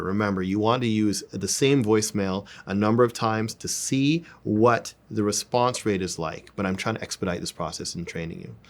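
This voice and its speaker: A man giving an informal sales coaching lesson, repeating words and phrases for emphasis. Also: fairly low pitch. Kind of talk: informal instructional talk with demonstrations.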